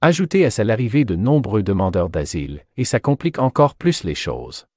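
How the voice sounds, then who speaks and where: A man playing a measured expert journalist explaining things as a guest in a scripted radio interview. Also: on the low side, very animated, dry recording, studio quality. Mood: measured, explanatory. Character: measured male journalist, immigration specialist, explaining.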